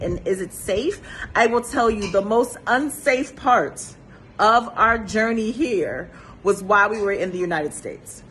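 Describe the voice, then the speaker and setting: A woman's voice: mid-range voice, fairly bright tone, low-quality recording. An earnest woman vlogger with a mid-range voice shares her views in an emphatic way. She repeats key phrases to drive her point home, with some noise behind her. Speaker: vlogger sharing a travel experience. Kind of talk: earnest opinionated talk to camera.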